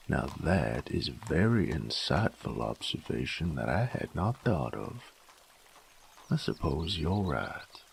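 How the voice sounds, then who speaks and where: A man playing a cocky, sadistic bandit in an audio roleplay, with a gruff, teasing drawl. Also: a little mellow, full character voice. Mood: sarcastic, teasing. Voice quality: gravelly, very deep drawl. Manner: drawling, teasing, folksy, crude. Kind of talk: intimate audio roleplay drama.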